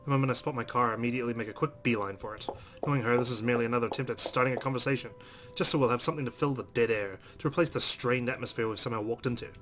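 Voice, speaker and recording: A man's voice: medium pitch, racing, fairly bright tone, slight background noise. A man narrating a visual novel aloud as a let's player, reading it dramatically with brief asides.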